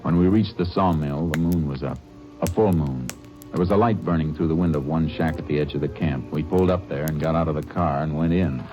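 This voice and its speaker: An actor playing a steady, matter-of-fact lawman who narrates his case in an old radio drama, with clipped delivery. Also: rumbling low, a little fast, soft background music. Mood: terse. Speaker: narrator and lead. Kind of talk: 1950s radio drama acting.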